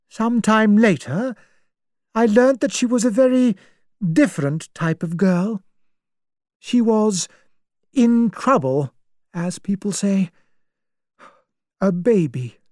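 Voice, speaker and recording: Older spinster, stern and unbending, rather dark tone; a woman narrator does her. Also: medium pitch, no echo, clean studio sound, voice pushed up. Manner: prim, unbending, judgmental.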